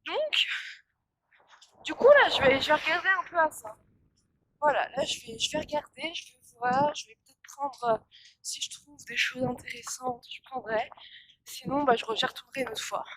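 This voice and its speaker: A woman's voice: sits high, animated, moderate pace, fairly bright tone, no echo, rough recording. A young woman vlogging casually to her phone on a windy outdoor walk, tired and stuffy from pollen allergies, rambling with many fillers and restarts. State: congested from pollen allergy, coughing. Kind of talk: casual vlog chat to camera. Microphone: phone held at arm's length.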